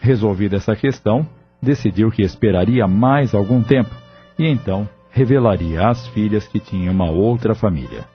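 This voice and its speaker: A man narrating an audio novel in a steady, measured storytelling manner. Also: fairly low pitch. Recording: dull lo-fi audio, faint music.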